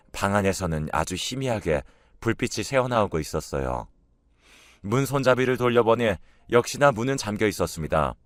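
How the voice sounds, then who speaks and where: A man's voice: lowish voice, dry recording, clean studio sound. A man reading a scripted first-person story as its narrator.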